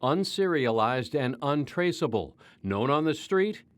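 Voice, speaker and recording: An American man narrates a television news report. His voice sits at a middle pitch, and he delivers scripted copy as a reporter. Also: very animated, a little slow.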